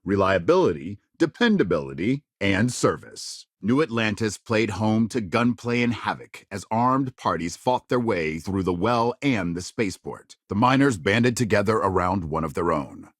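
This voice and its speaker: An actor playing a serious, polished male news anchor in a sci-fi game, reading scripted reports with measured, emphatic delivery. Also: on the low side, lively intonation, no echo, clean studio sound. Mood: grave, authoritative. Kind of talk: scripted news broadcast narration.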